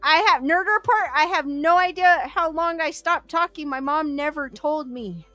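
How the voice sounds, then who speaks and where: A woman's voice: high-pitched, normal speed, sharp tone, dry recording, soft background music. A woman hosting a livestream, chatting casually and laughing often as she reads viewer comments aloud. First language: Korean as early childhood language. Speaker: livestream host. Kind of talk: casual chat reading live comments.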